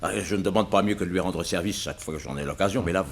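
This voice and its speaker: An actor playing a polite, fretful provincial gentleman in a 1960s French radio mystery, with a hesitant conversational manner. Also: sits low, very fast. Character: respectable, fretful provincial gentleman hotel guest carrying money. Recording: noisy old radio drama recording.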